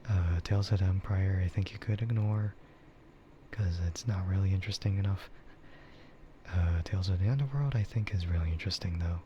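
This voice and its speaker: A man speaking softly in a breathy half-whisper, rambling casually and hesitantly like an ASMR streamer close to the mic. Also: deep voice, brisk. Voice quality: soft, breathy, often whispered. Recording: muffled lo-fi stream audio, clear background noise.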